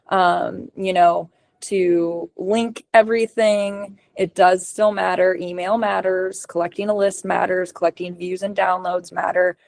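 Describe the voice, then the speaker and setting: Woman presenting, voice getting hoarse. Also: on the low side, a little mellow, dry recording. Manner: casual, lots of ums and likes, list-like delivery. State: voice going hoarse. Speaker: podcast production consultant. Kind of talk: webinar presentation with slides.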